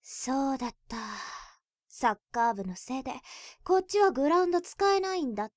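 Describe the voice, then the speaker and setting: Blunt high-voiced schoolgirl. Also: sing-song, brisk, darkish tone, dry recording, clean studio sound. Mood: brusque, scolding. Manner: brusque, scolding. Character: blunt, prickly high school girl with a boyfriend. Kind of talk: youth romantic comedy voice drama acting.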